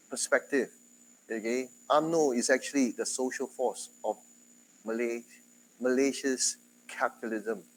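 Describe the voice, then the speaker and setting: A man from Singapore with a Singaporean English accent, giving a university lecture in a chatty, animated way. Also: mid-range voice, a little hiss or hum, low-quality recording. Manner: chatty, animated, quotes others in dialogue.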